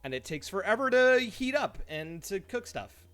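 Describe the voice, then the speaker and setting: A man streaming live, chatting casually to viewers and telling stories in an animated way, acting out his reactions. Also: high voice, moderate pace. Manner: animated storytelling, mimics his own reactions; laughs easily while talking. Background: background music.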